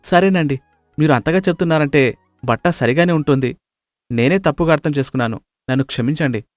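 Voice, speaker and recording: Guy doing a simple polite village man in a moral tale, kinda high voice, deferential, dry sound. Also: brisk, no echo, faint music behind, lightly acted. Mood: polite, doubtful. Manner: polite, apologetic. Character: naive, deferential village man, farmer or shopkeeper. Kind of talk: acted moral story.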